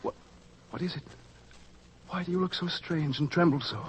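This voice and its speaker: A man's voice: a little high, normal speed, slightly rough. An actor playing an anxious, earnest father of a sick child in a 1940s radio drama, speaking urgently. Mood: desperate. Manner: urgent, pleading. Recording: old radio recording with faint hiss.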